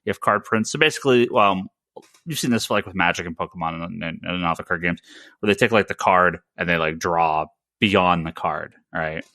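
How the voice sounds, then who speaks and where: A man hosting a podcast, chatting informally and rambling through shout-outs and plugs. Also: low voice, normal speed, no echo, studio quality. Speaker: podcast host.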